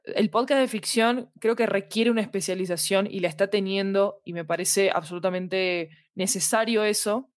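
A woman talks in a conversational interview, explaining her points clearly and with emphasis. Her pitch sits in the middle range, and the sound is dry with very little room. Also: normal speed, no room sound. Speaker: interview guest, fiction podcast specialist. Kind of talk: conversational discussion.

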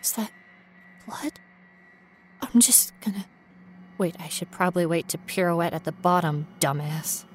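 A woman's voice: on the low side, measured pace, long pauses, close to an everyday voice. Woman acting a nervous, wry ghost researcher, music behind. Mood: nervous, rattled. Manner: rambling nervous self-talk, sardonic, often dropping to whispers. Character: frightened, wry young female ghost researcher, alone in danger. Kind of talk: audio horror monologue performance.

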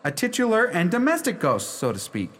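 High-pitched, melodramatic young bridegroom. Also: male voice, wildly swooping pitch, a little fast, a bit bright, exaggerated voice. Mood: explaining, reluctant. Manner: melodramatic, earnest, wordy. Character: exasperated lovestruck young bridegroom haggling with ghosts. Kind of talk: theatrical radio play acting.

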